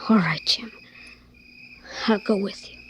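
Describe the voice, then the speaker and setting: A woman plays a reluctant, anxious young sneak thief in vintage radio-drama style. Her mid-pitched voice pleads and hesitates. The old recording carries faint noise. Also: a little slow, a bit bright, lightly acted. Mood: reluctant, pleading. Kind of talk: 1940s radio crime drama acting.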